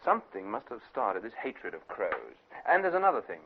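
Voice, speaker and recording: An actor playing a shrewd British private detective in a radio drama, measured, analytical and authoritative. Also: male voice, medium pitch, huge pitch swings, a little hiss or hum. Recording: narrow-band vintage radio recording.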